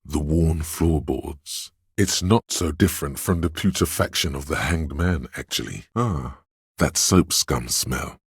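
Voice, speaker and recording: A man voicing the wry inner voice of a detective's sense of smell in a game, with a slow, sardonic delivery. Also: no echo, clean studio sound, full character voice. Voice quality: deep gravelly husky voice. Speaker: voice actor for game narration. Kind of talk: scripted game voice lines. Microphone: close studio mic.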